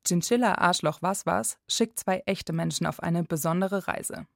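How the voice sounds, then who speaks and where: Low-pitched woman narrating, dry sound. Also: moderate pace, creaky, no room sound. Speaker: radio reporter. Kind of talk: scripted radio feature narration.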